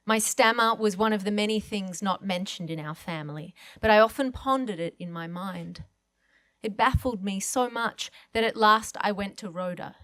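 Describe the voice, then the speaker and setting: A woman's voice: mid-range voice, a bit bright, dry recording. A woman reading a first-person literary short story aloud as a guest reader at an event.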